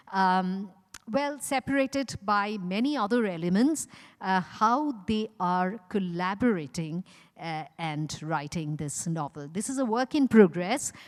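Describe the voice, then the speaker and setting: A woman with an Indian accent introduces an author as host of a literary event, speaking in a measured, deliberate way with frequent pauses. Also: mid-range voice. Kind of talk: semi-formal spoken introduction at a literary event. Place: large indoor event hall. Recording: echoey hall with long reverb.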